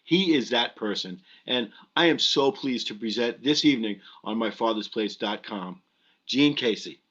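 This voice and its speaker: A man's voice: medium pitch, lively intonation, normal speed, a bit bright. A middle-aged man hosting a live stream, giving a casual introduction in an effusive, emphatic way. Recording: dull lo-fi web stream audio.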